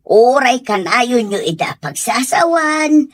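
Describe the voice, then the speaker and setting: A woman playing a gentle, loyal defender addressing her madam in an Ilocano radio drama, with an Ilocano accent. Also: fairly high pitch, sing-song, slow, heavily acted. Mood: gentle, reasoning. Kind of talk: Filipino radio drama acting.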